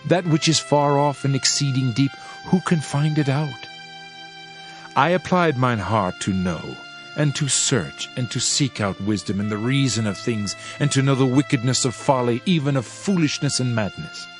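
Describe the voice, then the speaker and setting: A man narrating a dramatized scripture reading in a solemn, measured way with archaic phrasing. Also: medium pitch, over music.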